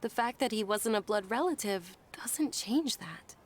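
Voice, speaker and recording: A woman playing a gentle, earnest young companion in video game dialogue. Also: mid-range voice, lively intonation, a little slow, slight background noise, close to an everyday voice. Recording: game music behind the voice.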